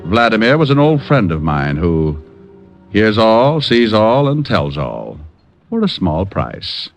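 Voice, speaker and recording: A man's voice: fairly low pitch, sing-song, slow, faint music behind, full character voice. A man playing a wry, wisecracking private detective with an American accent, in 1950s radio drama style. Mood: wry narration. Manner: dry, wisecracking.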